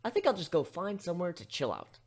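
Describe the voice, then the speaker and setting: A man's voice: high voice, huge pitch swings, fast, breathy edge. A man reading first-person story narration as an amateur dramatic reader.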